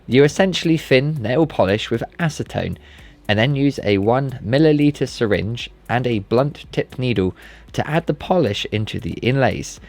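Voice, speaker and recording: A man's voice: medium pitch, animated, moderate pace, darkish tone. A man giving scripted, methodical, step-by-step instruction as the presenter of a maker channel. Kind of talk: scripted tutorial narration. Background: background music.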